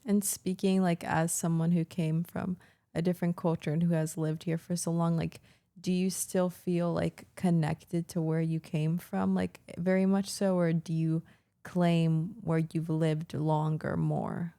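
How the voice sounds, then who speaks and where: A woman's voice: lowish voice, level tone, unhurried, creaky, warm tone. A teenage girl hesitantly asking her father questions, with lots of fillers. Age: teens. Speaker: interviewer, daughter. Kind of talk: casual interview.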